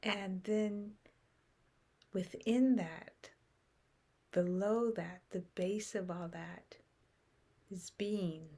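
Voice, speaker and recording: A woman leading a guided meditation in short, unhurried phrases with long pauses, softly trailing into breath at the ends. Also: medium pitch, steady tone. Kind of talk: guided meditation, unscripted and contemplative.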